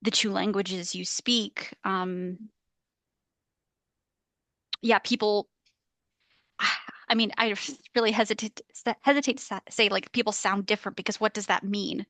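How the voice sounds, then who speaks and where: Creaky-voiced woman on a video call. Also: mid-range voice, steady tone, measured pace, pauses often, sharp tone, no room sound. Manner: hesitant, frequent restarts and fillers. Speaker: researcher on a discussion panel. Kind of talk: academic round-table discussion.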